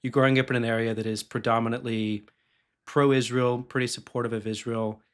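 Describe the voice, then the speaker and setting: A man co-hosts a podcast in casual conversation. He answers in a friendly, thoughtful way and keeps a measured tone. His voice sits at a middle pitch. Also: little pitch movement. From Kansas City, Missouri, USA. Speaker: resident scholar, co-host.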